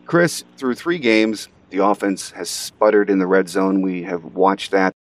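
Low-voiced American man hosting sports podcast. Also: a little slow. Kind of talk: scripted sports commentary.

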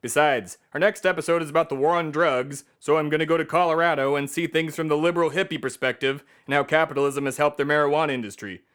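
Man playing pompous America personified, loud and high-pitched. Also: fairly even intonation, fast, few pauses, clear, resonant tone, close to an everyday voice. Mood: dismissive, boastful. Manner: pompous, declamatory oratory. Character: America personified, a bombastic, self-important, patriotic blowhard. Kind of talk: satirical comedy podcast monologue.

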